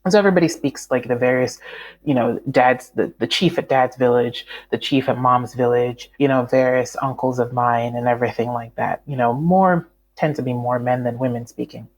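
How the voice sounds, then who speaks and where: A woman's voice: extremely low pitch, moderate pace, darkish tone, slight background noise. A woman from Canada, a writer talking as an interview guest, thoughtful and full of fillers and restarts. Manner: reflective, many fillers and restarts. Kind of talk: conversational interview.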